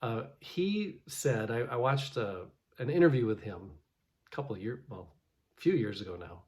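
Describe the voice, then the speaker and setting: A pastor talks through a morning reflection in a loose, conversational way, often restarting his sentences. His voice sits at an ordinary middle pitch for a man. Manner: conversational, many restarts and fillers. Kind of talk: casual devotional reflection.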